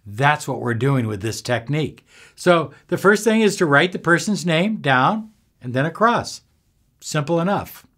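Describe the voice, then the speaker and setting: Slow, expressive older man coaching. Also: fairly high pitch. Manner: deliberate, emphatic, pausing between short phrases. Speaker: self-help coach. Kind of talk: instructional talk to camera. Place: small indoor room with bookshelves.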